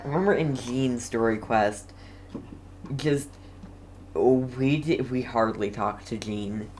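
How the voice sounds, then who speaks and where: A game streamer giving casual commentary in a rambling, hesitant way, with halting phrases. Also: medium pitch, darkish tone, slight background noise.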